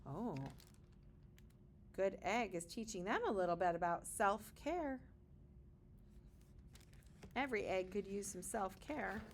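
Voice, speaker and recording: A woman's voice: medium pitch, pushed and bright. A woman reading a children's picture book aloud with dramatic emphasis and short explanatory asides, like a kindergarten teacher.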